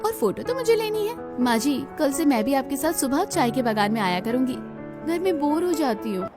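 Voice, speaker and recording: A sweet, eager young bride in a cartoon family story, voiced by a woman. Her voice is very high, with music clearly playing underneath. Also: sing-song, moderate pace. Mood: delighted. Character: eager young city-bred daughter-in-law, sweet newlywed.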